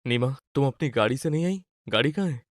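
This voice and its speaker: A gentle old grandfather of a wealthy family, acted by a man for a cartoon moral tale. He speaks with caring pride at an ordinary male pitch, recorded very dry. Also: expressive, quick pace, no echo, lightly acted. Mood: surprised. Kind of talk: acted Hindi moral-story cartoon dialogue.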